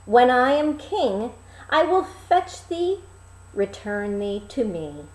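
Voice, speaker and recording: A woman's voice: a little high, very animated, slow pace, reverberant, rough recording. A woman voicing an earnest young prince in a fairy tale, with formal, old-fashioned speech. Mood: tender, solemn. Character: young prince, later king, earnest and trusting.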